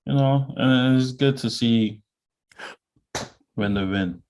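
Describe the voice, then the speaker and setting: A man co-hosting a podcast, talking reflectively in casual chat with halting restarts. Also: mid-range voice, darkish tone, slight background noise. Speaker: podcast co-host.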